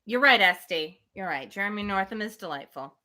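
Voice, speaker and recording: A woman reading a classic novel aloud on a livestream, expressive, slipping between literary narration and casual, playful asides. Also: medium pitch, a little fast.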